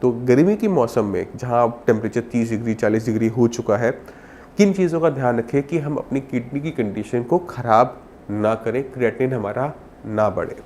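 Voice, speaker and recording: A man's voice: mid-range voice, moderate pace, a little mellow, slight background noise. A man with a sore throat and cold, explaining health advice to viewers as a doctor in a direct, instructive way. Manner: instructive, addresses viewer directly with simple examples.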